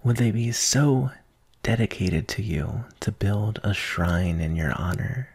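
A man playing an obsessive, possessive, lovesick kidnapper in an ASMR roleplay, breathy and often near a whisper, speaking intensely and intimately. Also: lowish voice, animated, darkish tone, full character voice. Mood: tender, obsessive. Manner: slow, intense, intimate, addressing a captive darling.

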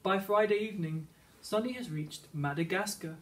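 A man's voice: high-pitched, normal speed. A man narrating a children's picture book, read aloud as a teacher would. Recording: slightly distant microphone.

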